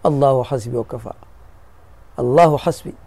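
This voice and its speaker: A man's voice: mid-range voice, moderate pace, dark tone, no room sound. A man teaching Arabic grammar as a sheikh, patiently explaining and repeating key phrases and checking that his students follow. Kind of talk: classroom explanation of a grammar poem.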